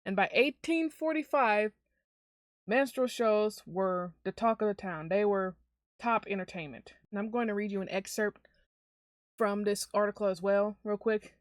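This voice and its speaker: A woman giving opinionated commentary to her viewers, speaking bluntly and emphatically with deliberate stresses. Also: medium pitch, dry recording, clean studio sound. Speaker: video commentator.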